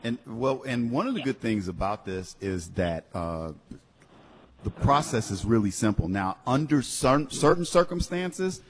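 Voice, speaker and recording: A man's voice: mid-range voice, animated, measured pace, breathy edge. A man hosting a live radio talk show, encouraging callers in a preachy, faith-filled way. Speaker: radio host.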